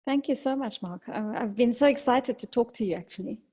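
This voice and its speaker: A woman from South Africa with a South African accent, speaking as a doctor and podcast guest in a reflective, halting conversational way. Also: fairly high pitch, warm tone.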